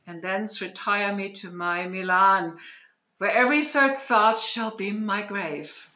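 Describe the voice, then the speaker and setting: A woman playing a commanding, grave, aging sorceress in a Shakespearean radio play, speaking measured, declamatory verse. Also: medium pitch, bright, forceful tone. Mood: gracious. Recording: roomy online recording.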